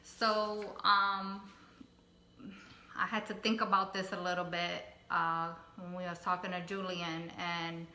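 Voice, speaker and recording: Soft-spoken woman, bright and echoey. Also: lowish voice, level tone. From Connecticut. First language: Spanish. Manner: soft-spoken, unhurried, thoughtful with frequent fillers. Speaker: co-host. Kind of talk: casual personal talk. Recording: echoey room.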